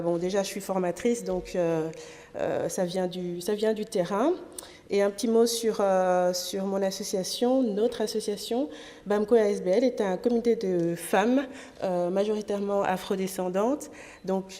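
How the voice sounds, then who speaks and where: A woman's voice: medium pitch, warm tone. A woman originally from Congo, an association president and trainer, giving a pragmatic conference talk with frequent hesitations. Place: parliament conference hall. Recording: long hall reverb.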